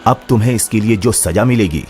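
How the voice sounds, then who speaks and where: A man's voice: on the low side, darkish tone, a little hiss or hum, lightly acted. A man playing a cold, possessive, vengeful husband in an audio drama, speaking in threatening commands. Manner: slow threatening, commanding delivery. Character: cold, menacing young male captor husband, possessive and vengeful. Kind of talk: Hindi romance audio drama acting.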